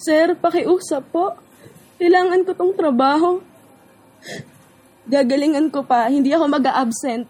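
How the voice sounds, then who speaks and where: A humble young woman worker, played by an actress in a radio drama. She pleads earnestly and deferentially, her voice pitched high with desperation. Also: a little slow, long pauses, darkish tone. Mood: desperate pleading.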